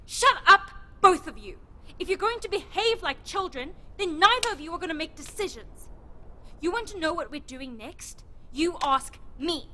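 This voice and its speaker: A woman's voice: very high-pitched, lively intonation, normal speed, bright, forceful tone, exaggerated voice. A woman playing an upbeat, bossy young survivor who coaxes and takes charge in an audio drama. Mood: exasperated.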